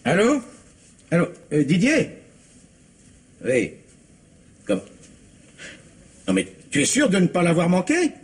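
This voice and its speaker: A man's voice: sits high, huge pitch swings, takes it slowly, pauses often, husky, full character voice. An actor playing an anxious, irritable husband in his fifties, stammering and exclaiming in the style of old French radio drama. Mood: stern, irritated. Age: 50s. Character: anxious, irritable middle-aged husband and father, Robert.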